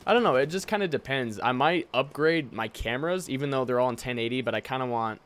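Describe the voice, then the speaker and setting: A man's voice: mid-range voice, quick pace, fairly bright tone. A twenty-year-old American man answering viewer questions in a casual, laid-back way, informal and often trailing off. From United States. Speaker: gaming and airsoft YouTuber.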